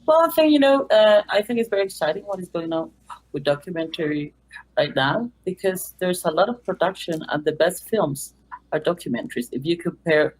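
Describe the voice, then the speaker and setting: A woman with a Mexican accent, a native Spanish speaker, speaking English as a documentary filmmaker and teacher in an interview. She talks in an earnest, halting way with many fillers. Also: lowish voice, expressive, darkish tone, rough recording. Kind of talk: remote interview.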